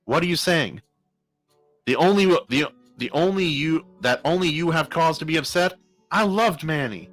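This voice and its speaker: A man's voice: fairly high pitch, moderate pace, fairly bright tone, faint music behind, pitched above the performer's own voice, lightly acted. A man voicing a bitter, defiant rebel woman in a western story, growling indignantly.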